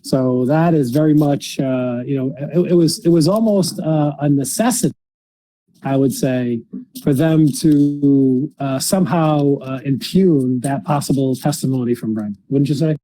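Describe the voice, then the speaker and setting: Halting male podcast host with dark, mellow voice. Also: mid-range voice, rough recording. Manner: halting, repeats and restarts phrases often. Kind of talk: unscripted case discussion on a podcast.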